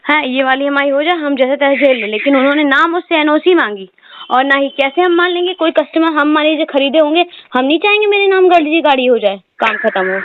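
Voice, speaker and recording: A woman's voice: high voice, quick pace. A woman complaining at length as a customer on a phone call, in an insistent, aggrieved manner. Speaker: customer complaining to a car salesman. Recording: noisy tinny phone line with clicks.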